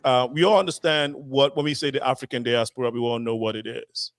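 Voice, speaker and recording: A man's voice: mid-range voice, steady tone, a little fast, fairly bright tone, dry recording. A man hosting a panel talk show, asking long, rambling questions full of fillers. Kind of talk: panel discussion moderating.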